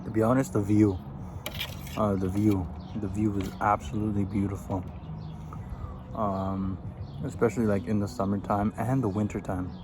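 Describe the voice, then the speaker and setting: A man's voice: lowish voice, level tone, moderate pace, pauses often. A man in his twenties from Afghanistan, chatting casually while he eats and answers get-to-know-me questions outdoors. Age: 20s. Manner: easygoing, answers questions in a loose, rambling way. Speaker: vlogger. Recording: outdoor recording with background noise and birds.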